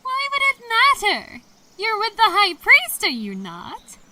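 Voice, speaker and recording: A woman playing an excitable, teasing young female scholar in a fan audio drama, exclamatory and playful. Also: extremely high pitch, unhurried, recorded from a distance, a little hiss or hum, full character voice. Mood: teasing, suspicious.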